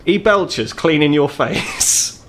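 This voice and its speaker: A man's voice: a little high, expressive, slow pace, a bit bright. A man with a British accent, narrating a tabletop roleplaying game as game master.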